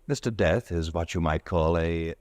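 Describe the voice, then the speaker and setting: A man's voice: low-pitched, normal speed, darkish tone, natural voice. A man playing a smug vengeful ghost. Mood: taunting. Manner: smug, taunting, cold. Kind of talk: 1940s radio acting.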